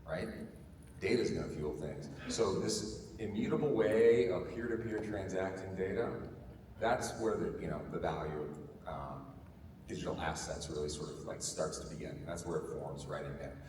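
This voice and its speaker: A man's voice: lowish voice, a little mellow. A man speaking on a conference panel in a lecturing way, often tagging his sentences with "right?". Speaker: panelist, company CEO. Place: large conference hall stage. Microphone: handheld mic. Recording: echoey hall, distant mic, faint noise.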